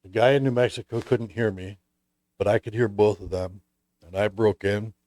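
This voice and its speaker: An American man hosting a relaxed, casual conversation about his hobby. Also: lowish voice, normal speed, dry recording. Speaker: host.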